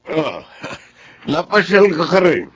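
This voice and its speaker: A man playing a concerned, advising uncle in a Filipino radio drama, husky, with a Filipino accent. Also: a little high, big pitch swings, takes it slowly, noisy background. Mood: genial. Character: concerned, advising uncle, a well-off older gentleman.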